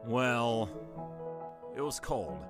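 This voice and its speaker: Man voicing an awkward, wildly expressive cartoon impostor. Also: medium pitch, extremely slow, music in the background. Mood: subdued, hesitant. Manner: hesitant, melodramatic outbursts. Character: awkward lovestruck impostor crewmate, secretly murderous, anxious. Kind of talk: comic dub voice acting.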